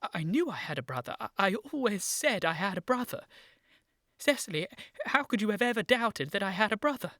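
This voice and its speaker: A woman playing an earnest, excitable gentleman in a period radio comedy, formal and urgent with an agitated stammer. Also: medium pitch, fairly quick, dry recording, studio quality. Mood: elated. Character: earnest, excitable young gentleman seeking his origins.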